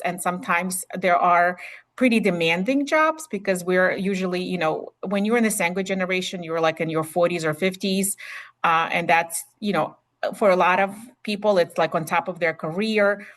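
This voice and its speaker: A woman's voice: lowish voice, normal speed, a bit bright. A middle-aged woman from Ukraine with a Ukrainian accent, a nurse practitioner giving a webinar talk in a chatty way full of fillers and restarts, heard over a video call. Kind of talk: webinar presentation.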